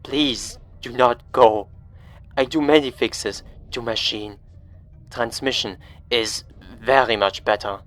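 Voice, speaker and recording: A man voicing a desperate German radio caller, pleading in halting English with a German accent. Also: medium pitch, a bit bright, soft background music. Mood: anxious, hopeful.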